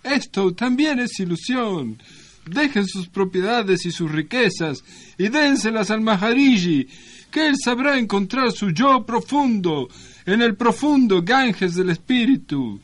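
A man voicing a solemn guru who preaches renunciation in slow, repetitive, chanting phrases for a radio comedy sketch. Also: high-pitched, animated, a bit bright, full character voice. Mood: solemn, preachy. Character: solemn Indian-style guru renouncing all earthly pleasures. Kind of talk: parody spiritual message, radio sketch.

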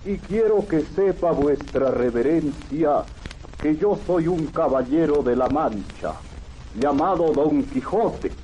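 An actor playing a proud, solemn knight errant in a grandiose, declamatory radio theatre style. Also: male voice, fairly high pitch, lively intonation, slow pace, mellow, a little hiss or hum, low-quality recording. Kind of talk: old radio theatre acting.

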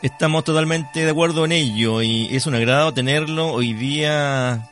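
A Chilean man with a slightly high voice hosts a live radio interview. He speaks courteously and with some formality. Music plays clearly behind him. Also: normal speed, fairly bright tone. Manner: courteous, formal address to guest. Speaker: radio host.

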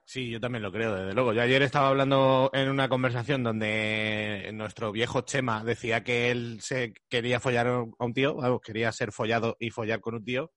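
A man's voice: mid-range voice, steady tone, moderate pace, hardly any pauses, a bit bright, dry recording. A man with a Madrid Spanish accent hosting a casual group chat, joking and teasing in colloquial language. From Madrid, Spain. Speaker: host.

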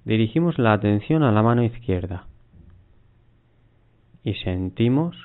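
Male meditation guide, lowish pitch, very slow delivery with long pauses between short instructions, body scan style. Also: lively intonation. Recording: muffled, phone-like narrow band.

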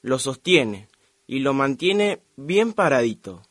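Man as a slow, singsong know-it-all cricket. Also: mid-range voice, sing-song, a bit bright, no room sound, studio quality, full character voice. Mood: explanatory. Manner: deliberate, explanatory. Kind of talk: children's educational radio play.

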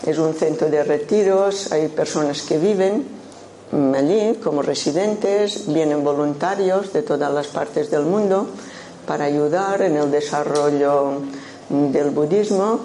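An older woman with a Menorcan Spanish accent speaks very slowly in a soft, mellow voice. She talks to a room as a Buddhist teacher and often stops to think. The recording is roomy, with faint noise behind her. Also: low voice. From Menorca, Spain. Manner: unhurried, thoughtful, with frequent hesitations. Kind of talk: informal talk to an audience.

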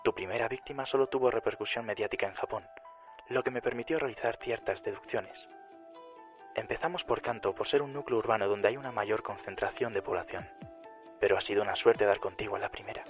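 An actor playing a defiant, cool-headed detective in a radio drama, deliberate and challenging, through a broadcast filter. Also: male voice, lowish voice. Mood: cool, resolute. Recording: dull lo-fi broadcast, faint music.

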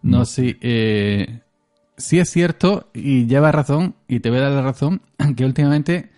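Rambling Andalusian man, mellow voice. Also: medium pitch, a little slow, faint music behind. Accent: Andalusian Spanish. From Andalusia, Spain. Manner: rambling, chuckling often. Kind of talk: casual chat.

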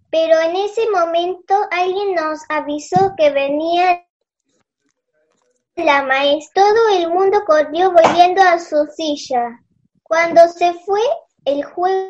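A woman's voice: very high voice, a little mellow. A female performer playing a young schoolgirl who narrates a classroom story in a school radio play, in a dull home recording.

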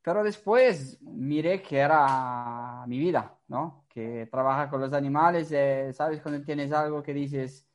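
A middle-aged man, native in Portuguese and clearly accented, talks in a medium register. His phrasing is non-native and informal, dotted with little questions that seek agreement. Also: mid-range voice, unhurried, hardly any pauses, slight background noise. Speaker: host, marine mammal trainer. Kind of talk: casual introductory chat.

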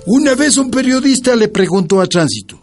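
An actor playing a grown son who narrates his mother's life in a radio drama, solemn and affectionate, in measured storytelling. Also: very high voice, lively intonation, faint music behind. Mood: recalling.

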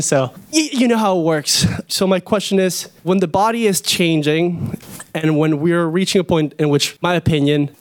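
A young man from Venezuela speaks in a fairly high voice. He is a student addressing a panel with a question from the audience. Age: young adult.

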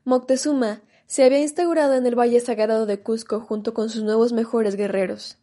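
A woman narrating a scripted historical radio drama in a measured storytelling manner. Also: on the high side, steady tone, mellow.

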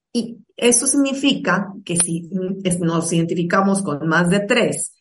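A woman's voice: mid-range voice, distant mic. A woman from Guatemala, giving a motivational online talk and speaking directly and persuasively to her audience. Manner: addresses the listener directly, persuasive and encouraging.

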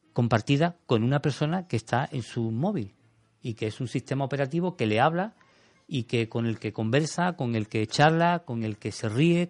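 A man's voice: mid-range voice, no room sound. A man on a radio show talking about a film in a thoughtful, rambling way, with many restarts. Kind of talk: casual radio chat. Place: radio studio.

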